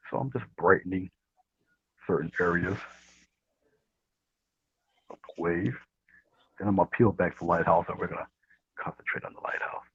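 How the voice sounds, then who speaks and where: A man with a low, husky voice giving a casual painting demonstration, speaking softly and often trailing off into a murmur. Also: normal speed, pauses often. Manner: soft, murmuring, trails off near whisper. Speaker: guest painting instructor. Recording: dull, roomy livestream audio.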